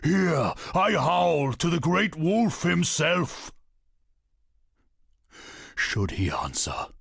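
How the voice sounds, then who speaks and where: A gravelly, brooding wolf king. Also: low voice, no room sound, studio quality, heavily acted. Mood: fervent, invoking, then grave, predatory. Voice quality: gravelly, husky. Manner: solemn, slow, incantatory declamation. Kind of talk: dramatic video game monologue.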